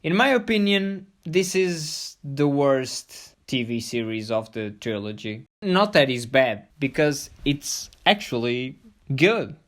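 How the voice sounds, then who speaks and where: A man hosting a scripted video review, playful and full of jokey asides. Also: medium pitch. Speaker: host of a review show.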